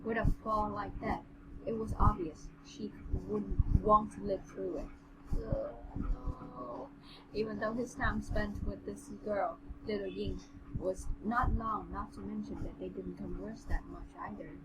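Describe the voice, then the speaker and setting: Woman, medium pitch, amateur story reader, halting delivery, casual co-host, heavy noise on the recording. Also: fairly even intonation. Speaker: co-host reading a novel aloud. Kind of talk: amateur audiobook reading with casual commentary. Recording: very noisy home recording.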